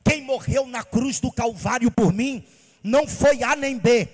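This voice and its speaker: A pastor from Bahia preaching with passion, asking rhetorical questions in a sing-song cadence. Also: male voice, very high-pitched, measured pace, darkish tone, breathy edge, in a large hall. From Bahia, Brazil. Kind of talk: sermon.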